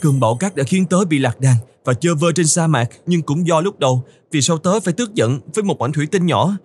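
A man's voice: on the high side, expressive, fairly quick, a little mellow, slight background noise, lightly acted. A man voicing an anxious young male cartoon camel in a whiny, exclamatory, melodramatic way. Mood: regretful, grateful. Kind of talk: cartoon voice acting.

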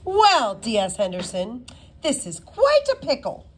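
Storybook schoolgirl, acted by a woman: cheeky, dryly witty, very animated delivery. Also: a little high, measured pace, slight background noise. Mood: smug teasing. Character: cheeky, self-assured schoolgirl with sly wit.

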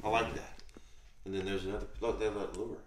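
A man's voice: lowish voice, level tone, fast talker. A middle-aged man chatting informally to camera as a vlog host. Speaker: professional angler, vlog host. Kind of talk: casual vlog chat to camera. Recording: outdoor and indoor noise, crowd bustle.

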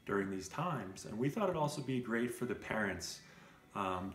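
A man in his thirties reading a children's storybook aloud as a parent, expressively, with simple casual asides. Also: fairly low pitch. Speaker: parent reading to preschool class. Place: indoor room with brick wall. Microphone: camera a short distance away.

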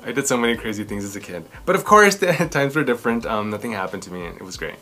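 A man in his thirties reading a storybook aloud and reviewing it to camera in a theatrical, expressive way. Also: fairly low pitch, fast talker, a little hiss or hum. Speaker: children's book reviewer and host. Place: small furnished room at home, indoors.

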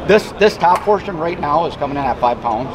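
Man, slightly raised pitch, company rep, plainspoken explanatory delivery, casual demo, noisy trade show crowd. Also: expressive, fairly quick. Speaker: company representative presenting a product. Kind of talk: casual product demonstration interview. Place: busy indoor trade show floor.

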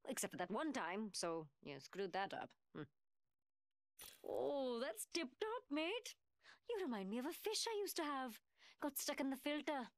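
A woman's voice: fairly high pitch, no room sound. An actress voicing a cocky, mocking young woman gunsmith in a video game, with a British working-class London accent and a cheeky, sarcastic manner. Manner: taunting, slangy, sarcastic. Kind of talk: video game character voice lines.

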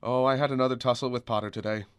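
A man's voice: mid-range voice, steady tone, very fast, clear, resonant tone, dry recording, natural voice. An actor playing a kindly, weary, principled small-town father in a radio drama. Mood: weary, bitter. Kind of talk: old-time radio drama acting.

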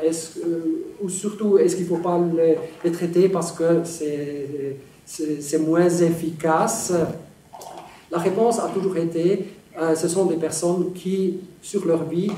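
A middle-aged man, a professor answering audience questions in an academic, halting way with many pauses, in a large lecture hall. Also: on the high side, steady tone, dark tone. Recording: echoey hall, distant, some noise.

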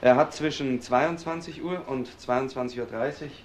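An actor playing a businesslike police assistant with a brisk, factual manner in a crime radio drama. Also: male voice, medium pitch, slight background noise, lightly acted.

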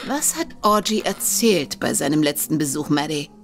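A woman's voice: sits low, expressive, normal speed, creaky, faint music behind. An actress playing a worldly, teasing female brothel keeper in a radio drama.

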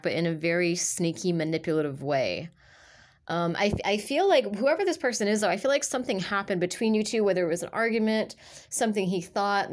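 A woman with a stuffy nose from allergies, reading tarot cards aloud in a loose, rambling way, full of fillers and restarts. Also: fairly low pitch. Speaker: tarot reader. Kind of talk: casual tarot reading monologue. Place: indoors at a table of tarot cards.

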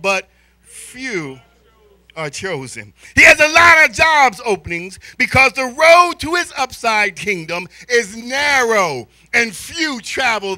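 A middle-aged American man delivers a sermon in a very bright, forceful voice. His delivery is slow and theatrical, stretching key words for emphasis. The pitch moves in lively sweeps as he tells stories to his congregation. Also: no room sound. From born in Spain, American military family. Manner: animated, theatrical, drawn-out emphasis, swinging from quiet asides to shouts. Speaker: church elder preaching. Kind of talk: sermon with storytelling and call-and-response to congregation. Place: large church hall, indoors. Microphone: handheld microphone.

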